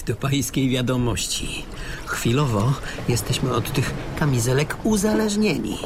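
A man acting in a radio drama as a wary, grumbling crash survivor adrift at sea, halting and testy in his talk. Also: medium pitch, lightly acted. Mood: cautious. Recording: clear water sloshing noise behind. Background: lapping, trickling water.

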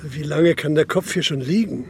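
An actor in a radio drama playing a restrained middle-aged police inspector, curt and matter-of-fact in dialogue and narration. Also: male voice, fairly high pitch, moderate pace, slight background noise, close to an everyday voice. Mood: curt, probing. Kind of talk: radio drama acting with first-person narration.

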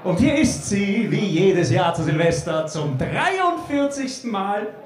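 An actor in a radio drama playing a flamboyant, teasing cabaret master of ceremonies, announcing in a showy, drawn-out way. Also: male voice, high voice, big pitch swings, a little hiss or hum, full character voice. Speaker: show announcer. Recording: very echoey stage sound, faint music.

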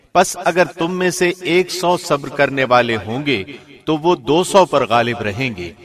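A man reading a scripture translation from a script in a measured, formal announcer's delivery. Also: a little high, a bit bright. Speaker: translation reader, radio host.

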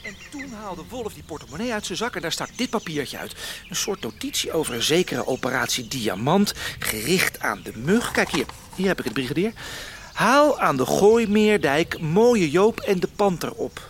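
Lively, high-pitched young boy detective. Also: normal speed, a little hiss or hum. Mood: eager. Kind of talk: Dutch radio play acting.

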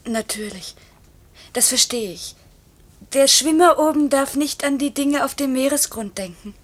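A woman playing a tender, anxious young pilot's wife in a 1950s radio play, girlish and adoring, soft and breathy. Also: sits high, measured pace. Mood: tender, uneasy. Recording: noisy old radio recording.

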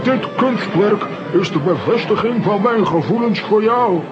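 High-pitched pompous lovesick comic fool. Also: male voice, expressive, measured pace. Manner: pompous, theatrical. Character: boastful, lovesick, foolish would-be painter, comic-strip hero. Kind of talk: children's audio drama acting. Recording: dull recording with loud music behind.

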